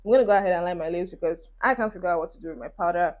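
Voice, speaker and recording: A Nigerian woman in her twenties talks to her followers in a relaxed get-ready-with-me vlog, recorded on a phone. She rambles easily and cheers her viewers on while applying makeup. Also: lowish voice, rapid-fire, highs cut off. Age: 20s. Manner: chatty, encouraging, talks while doing makeup. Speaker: beauty vlogger. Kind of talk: casual get-ready-with-me chat to camera. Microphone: phone camera.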